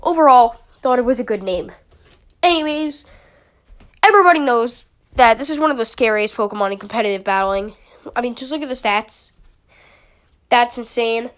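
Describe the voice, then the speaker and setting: Young woman with a stuffy cold, somewhat high pitch, loose chatty gamer commentary. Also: normal speed, pauses often. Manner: chatty, rambling, with many fillers. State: sniffly with a summer cold. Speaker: YouTuber analyzing a draft league team. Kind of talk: casual commentary.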